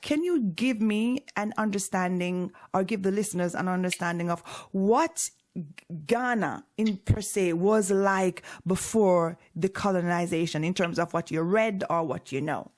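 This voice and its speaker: Lady hosting a radio call-in, lots of ums and restarts, middle pitch. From Jamaica. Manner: hesitant, with many fillers and restarts. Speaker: radio show host.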